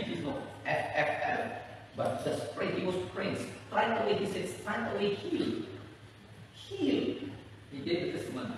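Middle-aged indonesian-speaking pastor, slow high-pitched preaching, loud bursts, far off in a roomy echoey hall with faint noise. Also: male voice. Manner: preaching with sudden loud high-pitched rises, pacing and gesturing. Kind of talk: sermon. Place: large bare community hall, indoors.

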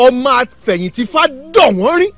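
A man's voice: very high-pitched, big pitch swings, fast talker, a bit bright, faint music behind, heavily acted. An actor with a Yoruba accent playing a man who repeats a diviner's incantations after him. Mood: solemn. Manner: repeats words after another.

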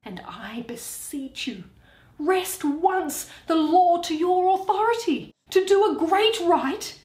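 A woman playing an impassioned young gentleman who pleads urgently, in a dramatic Shakespeare reading. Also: very high voice, expressive, slow pace, pitched above the performer's own voice, heavily acted. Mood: desperate pleading. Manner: urgent, imploring. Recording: echoey bare room.

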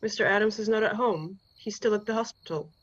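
A woman's voice: mid-range voice, a little fast, natural voice. Muffled, formal stranger on the telephone played by a woman. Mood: polite, guarded. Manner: polite, formal, reserved. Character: formal stranger, Mister Whitney, answering a household telephone. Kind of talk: radio play acting.

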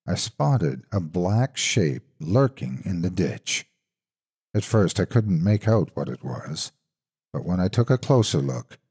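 A man narrating a scripted horror story in a husky voice, with suspenseful, expressive delivery. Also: low-pitched.

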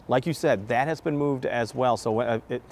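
A man's voice: medium pitch, normal speed, a little mellow. An American man in his fifties, hosting a conversational television interview and presenting segment. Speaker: TV host and interviewer. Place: large exhibition hall, indoors.

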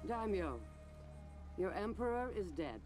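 A menacing warlord from a video game, who savours war and taunts the defeated. The delivery is very slow and deliberate, in a fairly high voice for a man. Music plays behind. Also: big pitch swings, darkish tone. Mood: taunting. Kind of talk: dramatic game cutscene acting.

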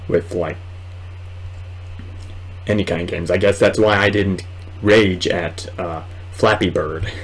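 A man in his twenties giving casual, rambling let's play commentary at home, halting at times. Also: low-pitched, steady tone, breathy edge. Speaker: gaming video host. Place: small room at home, indoors.

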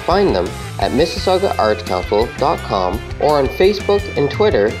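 Male announcer, lively inflection, scripted sponsor reads over a guitar bed. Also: medium pitch, moderate pace.

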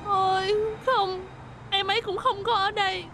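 A woman's voice: very high voice, steady tone, normal speed, noisy background. A woman voicing a worried, caring young woman van in a cartoon dub, polite and anxious. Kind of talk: cartoon dubbing.

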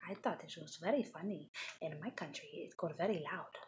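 A woman's voice: on the low side, fairly quick, a little hiss or hum, natural voice. A woman doing a boastful, crude faux-foreign entertainer. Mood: puzzled. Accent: put-on foreign accent. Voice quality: rambling, crude, breaks into half-whispers. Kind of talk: improvised comedy character monologue.

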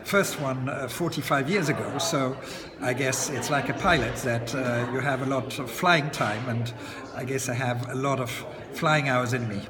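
Older man, echoey crowded lounge. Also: mid-range voice. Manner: chatty, many "uh" pauses, light chuckles. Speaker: auctioneer interviewee. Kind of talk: relaxed interview answers. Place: indoor lounge by large windows. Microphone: camera close to his face. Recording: long echo, loud crowd chatter behind.

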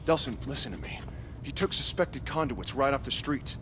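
An actor playing a stern, protective American older brother in a video game, earnest and stubborn. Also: male voice, mid-range voice, lively intonation, normal speed, noisy background, close to an everyday voice. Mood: stern, reproving.

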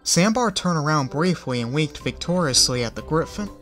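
A man reading a fan story aloud as its narrator, with an expressive delivery. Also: fairly high pitch, moderate pace. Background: faint background music.

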